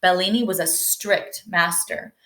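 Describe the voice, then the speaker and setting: A woman's voice: fairly low pitch, fairly even intonation, unhurried, fairly bright tone. A woman reading a children's story aloud, narrating expressively. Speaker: storybook reader. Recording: roomy indoor echo.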